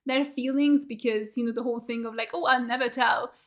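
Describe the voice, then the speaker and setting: Chatty young woman with a bright voice. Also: on the high side, fairly even intonation, fairly quick. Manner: chatty, lots of fillers and rhetorical questions, gestures with hands. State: voice getting tired. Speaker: reaction video host. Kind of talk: casual recap chat. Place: small home studio room with bookshelf.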